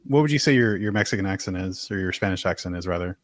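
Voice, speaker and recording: A man from the United States hosting a podcast, asking short questions in a relaxed, joking way. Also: low-pitched, quick pace. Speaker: podcast host. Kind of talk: casual podcast interview.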